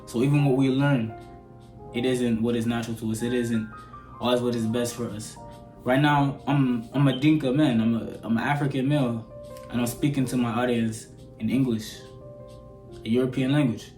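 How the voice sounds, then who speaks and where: A man's voice: mid-range voice, steady tone, normal speed, a little mellow, soft background music. Eighteen-year-old man with an American accent. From Sudan. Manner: rambling and conversational, often asks "you know what I'm saying?". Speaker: vlogger. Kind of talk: opinion rant to camera. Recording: roomy echo.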